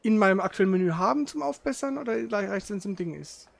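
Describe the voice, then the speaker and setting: A man's voice: high voice, expressive, fast talker. A man doing casual gaming commentary, thinking aloud in a slangy, grumbling way. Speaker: let's player. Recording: clear background noise.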